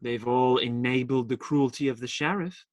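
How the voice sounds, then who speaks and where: A woman's voice: a bass voice, normal speed, a little mellow, no room sound. A woman playing a young male outlaw in a radio play, smitten, defiant and idealistic, earnest but faltering when challenged.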